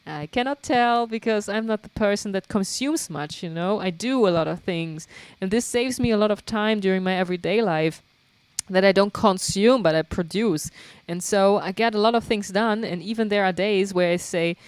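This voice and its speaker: A woman's voice: medium pitch, dry recording. Woman, German accent, young adult, chatty livestream host, dry close sound. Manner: chatty, laughs often, many fillers. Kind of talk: casual chat answering viewer questions.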